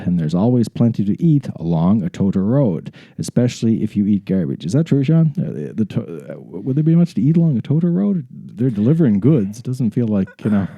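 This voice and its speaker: Guy with a low dark mellow voice, real close and dry, reads stuff out and wisecracks with swearing. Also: no echo. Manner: irreverent, profane asides. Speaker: podcast co-host reading a story aloud. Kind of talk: reading aloud mixed with casual banter.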